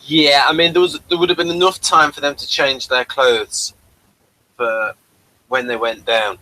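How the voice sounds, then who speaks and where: A man's voice: medium pitch, steady tone, a little slow, sharp tone, low-quality recording. A man hosting a casual review chat, explaining things and giving his opinions. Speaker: podcast host reviewing classic TV episodes.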